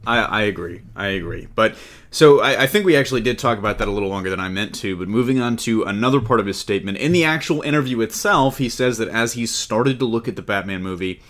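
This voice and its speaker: A man's voice: lowish voice, lively intonation, quick pace, fairly bright tone. A man hosting a casual podcast discussion, talking in a rambling way and rephrasing his questions as he goes. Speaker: podcast host.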